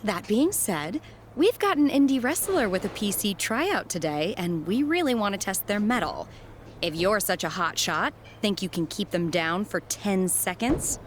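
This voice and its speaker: An actress playing a stern, reproving wrestling trainer in a video game cutscene. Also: female voice, mid-range voice, lively intonation, normal speed, a little hiss or hum, lightly acted. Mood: scolding, challenging. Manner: firm, reproving. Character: stern female wrestling trainer scolding a rookie.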